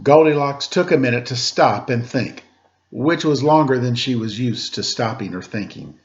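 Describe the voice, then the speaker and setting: A man narrating a children's story as a storyteller, with dramatic, deliberate pauses. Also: medium pitch, highs cut off.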